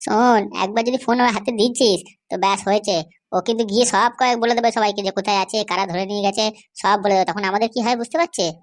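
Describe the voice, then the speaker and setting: A woman's voice: medium pitch, fairly even intonation, rapid-fire, lightly acted. A woman voicing a boastful, vengeful male kidnapper who argues brashly in an amateur comedy cartoon. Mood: suspicious, arguing.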